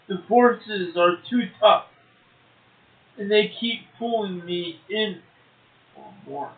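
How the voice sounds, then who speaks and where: High-pitched man, slow poetry recital, co-host remarks, phone-like narrow sound. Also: echoey room. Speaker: poetry show co-host and poet. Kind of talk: poetry reading and brief casual remarks.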